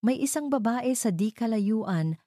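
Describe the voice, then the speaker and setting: A woman's voice: on the high side, expressive, a little slow, warm tone, no room sound, clean studio sound. A woman reading a scripted, dramatic first-person romance story as its narrator.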